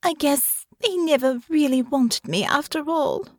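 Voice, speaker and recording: Anxious noble girl, high and pleading, read by a woman. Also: sing-song, a little slow, no room sound, studio quality, full character voice. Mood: plaintive. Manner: plaintive, rising, tearful pleading. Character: anxious young noblewoman, fretful and wounded.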